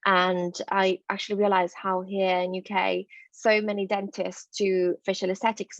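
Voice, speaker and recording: A woman's voice: medium pitch, no echo, muffled recording. Chatty Italian woman on a video call. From northern Italy. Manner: chatty, many fillers and restarts. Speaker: dentist giving a masterclass. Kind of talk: online presentation on a video call.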